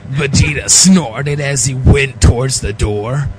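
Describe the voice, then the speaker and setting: A man reading fan fiction aloud as narrator, in a mock-dramatic, theatrical, drawn-out style. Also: medium pitch, slight background noise. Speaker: reader, narrator.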